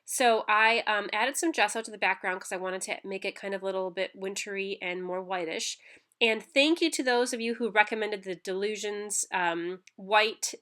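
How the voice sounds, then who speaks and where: A woman's voice: mid-range voice, normal speed, a bit bright. A woman with a stuffy nose from a cold, chatting casually through a crafting voice-over with lots of ums and restarts. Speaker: scrapbooking channel host. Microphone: home voice-over mic.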